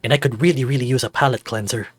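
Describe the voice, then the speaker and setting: A man voicing a caring, easygoing monster husband who coaxes gently and tactfully. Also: medium pitch, steady tone, very fast, dry recording, soft background music, close to an everyday voice.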